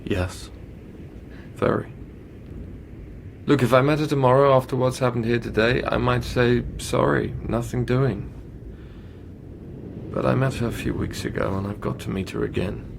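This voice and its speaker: A man's voice: medium pitch, steady tone, moderate pace, vocal fry, long pauses, background noise, natural voice. An actor playing a guarded, self-absorbed, conflicted young lover in a radio drama, hesitant and confessional as he narrates and talks. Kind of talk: radio drama acting with first-person narration.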